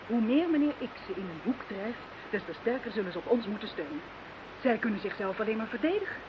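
Radio play: level-headed female ally, played by an actress, mid-pitched voice, muffled recording with clear hiss. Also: animated, fast, lightly acted. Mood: composed, firm. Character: composed, practical woman steadying her anxious friends. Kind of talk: Dutch radio play acting.

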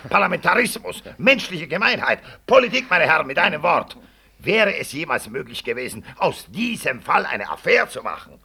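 An actor playing an indignant, idealistic medical professor who pleads in impassioned, rhetorical speeches in a radio drama. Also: male voice, fairly high pitch, creaky, sharp tone, breathy edge, full character voice. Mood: righteous indignation. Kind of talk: 1960s German radio play acting.

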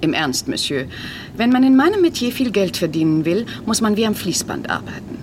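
A self-assured lady mystery writer, acted by a woman in a comic radio play. She is dry and slightly vain, with lively, wide-moving intonation at a medium pitch. Vehicle noise is clearly heard behind her. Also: a little fast, natural voice. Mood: arch, proud. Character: self-assured female crime novelist, brisk, slightly vain.

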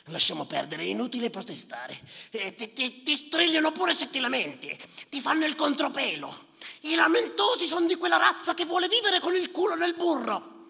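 An actor playing a boastful, bitter island general in a theatrical stage monologue, with a harsh, declamatory delivery. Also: male voice, extremely high pitch, big pitch swings, moderate pace, sharp tone, heavily acted. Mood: bitter, grumbling. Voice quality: harsh, gravelly voice. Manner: declamatory, commanding, emphatic, sardonic. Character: boastful, bitter, self-appointed island general herding dead spirits. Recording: echoing theater hall with live music.

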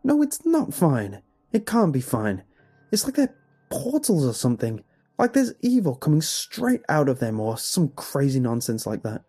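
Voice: mid-range voice, normal speed, mellow, slightly rough, no echo. A man playing a rattled, fed-up father in a narrated horror story. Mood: angry, rattled.

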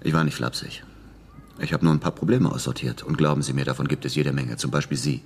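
Hard-boiled American ex-soldier, very low voice, brisk, cynical, laconic, radio crime drama. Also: male voice, steady tone, breathy edge, exaggerated voice. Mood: cynical, dry. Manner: laconic, matter-of-fact, cynical. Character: cynical, flippant American war veteran hired as investigator.

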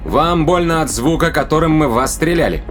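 A man's voice: fairly high pitch, expressive, a little fast, soft background music, close to an everyday voice. A man playing a tough, sardonic spaceship crewman in an audio drama, questioning a captive in pointed, colloquial speech. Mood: cold, threatening.

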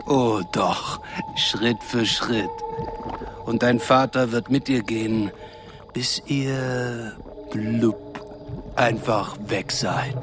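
A man's voice: medium pitch, extremely slow, music in the background. A man playing a sinister, commanding villain in a radio play, coldly polite and menacing. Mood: cold menace. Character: sinister, commanding male villain with mind-controlling power.

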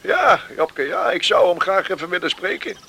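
A fussy village man in a radio drama. His voice is high, bright and very animated, stumbling over repeated words as he presses his concern. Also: normal speed, exaggerated voice. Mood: friendly, probing. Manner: stammering, repeating words, insistent. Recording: faintly noisy radio play, outdoor sounds.

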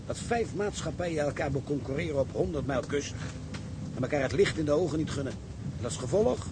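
Actor as earnest ship's engineer, noisy background. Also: male voice, on the high side, animated, fairly quick, lightly acted. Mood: argumentative. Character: reasonable, optimistic ship's engineer, male.

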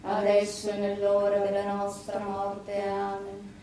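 Weary, defiant Sicilian widow, played by a woman, mid-pitched voice, bitter stage delivery, roomy sound with faint music. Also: monotone, slow pace, mellow, a little hiss or hum, natural voice. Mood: praying. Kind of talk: stage drama acting in dialect.

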